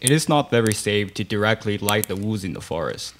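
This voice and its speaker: A man's voice: lowish voice, animated, fairly quick, a little hiss or hum. A young man reading scripted narration for a student radio play into a studio microphone. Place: small studio, indoors. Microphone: boom stand microphone, close. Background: guitar music.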